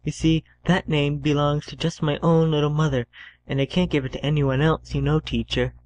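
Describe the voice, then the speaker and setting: A woman voicing a gentle, dreamy thirteen-year-old boy who speaks softly and earnestly. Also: low-pitched, little pitch movement, moderate pace, pitched below the performer's own voice, natural voice.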